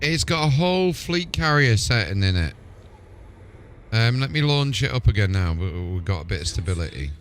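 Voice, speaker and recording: Male streamer, slightly deep voice, off-the-cuff gaming chat over light music. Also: sing-song, moderate pace. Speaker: game streamer. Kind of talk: casual live gaming commentary.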